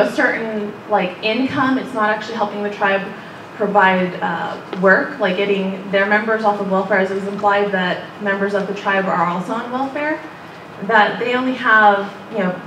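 A woman's voice: medium pitch, far from the mic. Tentative woman speaking in a noisy, echoey room. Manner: tentative, searching for words. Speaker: member of the public giving comment. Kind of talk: informal, unpolished public comment. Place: indoor meeting room at a public hearing. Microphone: distant camera microphone.

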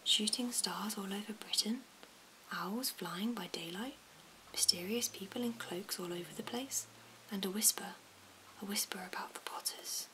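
A woman reads a storybook in a soft, slow whisper. Her delivery stays even and hushed. Noticeable hiss and small mouth clicks run through the recording. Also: medium pitch, poor audio. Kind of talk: whispered ASMR storybook reading.

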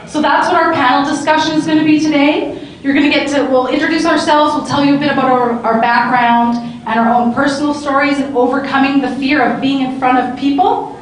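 Upbeat woman moderator, high pitch, handheld mic in a booming convention hall. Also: fairly even intonation, fairly quick, slight background noise. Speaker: panel moderator. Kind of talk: hosting a live panel. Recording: echoey hall, camera from far off.

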